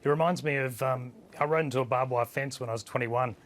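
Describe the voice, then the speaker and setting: A man with a husky voice, a guest on a TV panel, plain-spoken and hesitant with many fillers. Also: medium pitch, quick pace. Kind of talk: live TV panel discussion. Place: remote studio link.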